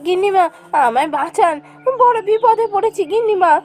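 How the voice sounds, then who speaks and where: A woman's voice: very high voice, lively intonation, brisk, a little mellow, soft background music. A woman voicing a poor, anxious village wife in a cartoon fairy tale, pleading and emotive.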